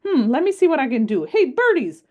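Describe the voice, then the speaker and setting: A woman voicing a wise, patient old grandmother in a read-aloud story, gently telling her granddaughter about her life. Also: sits high, very animated, fairly quick, dull audio, pitched above the performer's own voice. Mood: fond.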